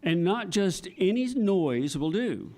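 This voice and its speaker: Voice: medium pitch, lively intonation, takes it slowly, mellow. A presenter giving a scripted live talk for children as part of a sound effects crew. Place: live library event before an audience.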